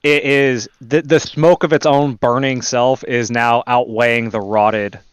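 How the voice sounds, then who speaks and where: A man's voice: mid-range voice, fairly even intonation, measured pace, clear, resonant tone, dry recording. A man talking casually and thinking aloud as a player in a tabletop roleplaying game.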